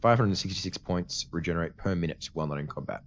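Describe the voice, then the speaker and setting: A man's voice: deep voice, quick pace, lo-fi sound, slight background noise. A man giving casual, explanatory commentary as a let's play host, his voice tired and cutting out slightly from a cold. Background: game music.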